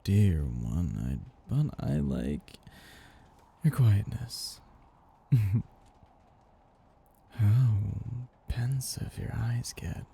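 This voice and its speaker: A man playing an ancient vampire master in an intimate ASMR roleplay, possessive and gently domineering, with a languid, teasing, velvety delivery. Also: lowish voice, creaky, breathy edge, full character voice. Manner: languid, teasing, condescending endearments, soft chuckles.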